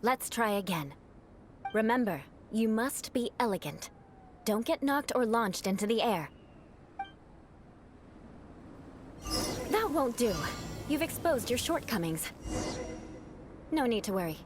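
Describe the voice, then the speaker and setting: A woman's voice: fairly high pitch, sing-song, unhurried, pauses often. A woman voicing a proud, composed young aristocratic knight in a video game, speaking in refined, formal, instructive phrases. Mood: cool, patient. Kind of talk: video game character dialogue. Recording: game music behind the voice.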